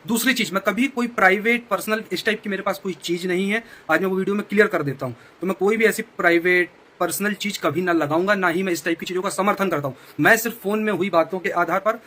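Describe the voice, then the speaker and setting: Quick-talking earnest male vlogger. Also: sits high, a bit bright. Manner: earnest, explanatory. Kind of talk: talking to camera.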